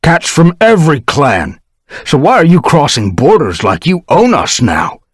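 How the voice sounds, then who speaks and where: A man's voice: on the high side, sing-song, unhurried, breathy edge, dry recording, clean studio sound, pitched above the performer's own voice, full character voice. A man voicing an angry rival male cat clan leader in a storybook, hissing his words.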